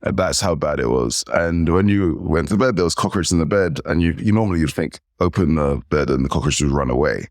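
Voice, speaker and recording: English man, forties, podcast guest; animated storyteller, very low voice, dry sound. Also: brisk, few pauses, no echo. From United Kingdom. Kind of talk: casual interview chat.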